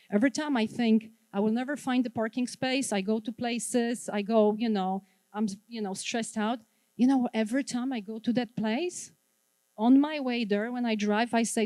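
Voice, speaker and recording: A woman's voice: medium pitch, a little mellow, no room sound, poor audio. A middle-aged woman from Poland with a Polish accent, leading a wellness workshop and drawing answers from the audience. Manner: engaging, asks the audience questions and repeats their answers. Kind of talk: interactive workshop talk to a live audience.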